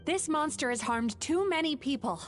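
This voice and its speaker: A composed young dream eater speaks gently and seriously in a slightly high voice. She sounds steady and resolute, like a video game heroine. Soft game music runs underneath. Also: moderate pace. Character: calm dream-eating young woman, resolute.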